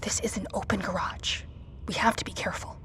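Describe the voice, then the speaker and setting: A woman's voice: medium pitch, fairly even intonation, a little fast, pushed and bright, whispered, lightly acted. A woman playing a tense, protective survivor who gives firm, clipped instructions in an audio drama. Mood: hushed, careful. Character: tense, commanding woman protecting her companions in disaster.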